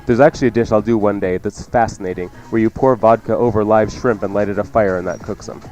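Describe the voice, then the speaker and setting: A man's voice: fairly low pitch, fairly even intonation, fast, darkish tone, soft background music, rough recording. A man hosting a cooking show, chatting through recipe instructions off the cuff with joking asides. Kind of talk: casual unscripted radio cooking instruction.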